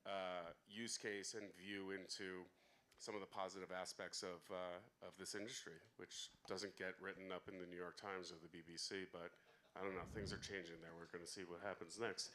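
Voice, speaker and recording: A man's voice: sits low, flat delivery, moderate pace, sharp tone, a little hiss or hum. A man co-hosting a live chat in a bar, welcoming the crowd in a chatty, upbeat way with many fillers. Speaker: co-host.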